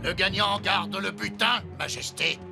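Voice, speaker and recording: An actor playing a bullying, sly villain's lackey in an animated series, taunting and theatrical, with a creaky voice. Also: male voice, high-pitched, measured pace, heavily acted. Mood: gloating. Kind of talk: French animated series dubbing. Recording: music behind the voice.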